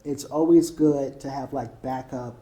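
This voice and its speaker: A man's voice: mid-range voice, steady tone, a little slow, warm tone. A man from California with a Californian American accent, telling stories casually as an interview guest in an informal way, often checking in with "right". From California, United States. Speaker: interview guest, travel content creator.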